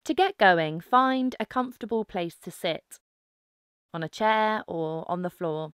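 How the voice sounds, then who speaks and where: Female meditation guide: clear, smooth tone, very measured pace, calm instructive delivery. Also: fairly low pitch, dry recording, studio quality. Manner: calm, instructive, unhurried with pauses. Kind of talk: guided mindfulness meditation narration.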